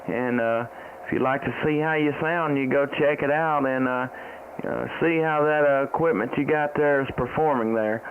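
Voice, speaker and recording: A man with a Southern American accent talks and makes calls as an amateur radio operator on a live stream. His voice is gravelly, his delivery easygoing and halting. The audio is narrow like a phone line, with faint static behind him. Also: mid-range voice. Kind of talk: live stream chat and ham radio calling.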